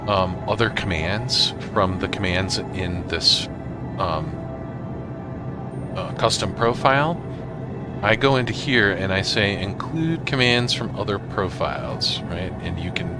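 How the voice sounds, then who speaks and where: A man giving a casual software tutorial, thinking aloud in an informal, halting way. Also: low voice, animated, loud music behind the voice. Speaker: tutorial host.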